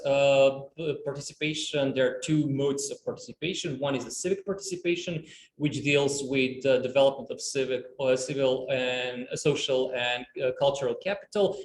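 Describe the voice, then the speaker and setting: A man on a conference panel, explaining at a measured pace with frequent fillers and restarts. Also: medium pitch, slight background noise. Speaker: panelist, youth studies researcher. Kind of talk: panel discussion answers. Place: conference room. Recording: echoey room, faint ambience.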